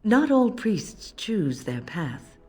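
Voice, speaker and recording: An actress playing a wise older female mage in a fantasy game, speaking as a gentle but firm, reflective mentor in measured phrases. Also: medium pitch, sing-song, husky, exaggerated voice. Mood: wistful, reflective. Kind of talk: dramatic game dialogue.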